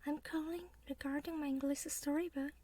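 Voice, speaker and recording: A woman speaking in a soft, breathy whisper with careful, unhurried words, role-playing a writer in an ASMR style. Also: sits high, fairly even intonation, slight background noise. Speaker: ASMR role play performer.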